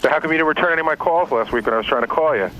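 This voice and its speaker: A man's voice: a little high, very fast, crowd noise. A man on a recorded phone call, insistent and confrontational.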